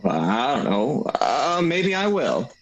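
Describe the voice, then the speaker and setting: A man's voice: medium pitch, sing-song, slow, lo-fi sound, full character voice. An actor playing a restless, good-natured young small-town man with a hesitant, stammering delivery in an old-time radio play. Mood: playful. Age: young adult.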